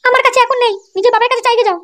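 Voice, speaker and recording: A woman voicing a shrill, nagging, suspicious wife in a comedy cartoon. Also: steady tone, rapid-fire, fairly bright tone. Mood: suspicious, scolding.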